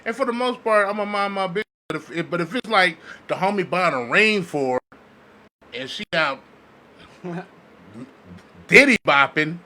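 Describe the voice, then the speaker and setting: A man chatting casually with friends in a blunt, animated, slangy way. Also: high voice, normal speed, pauses often, slight background noise. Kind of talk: casual group chat.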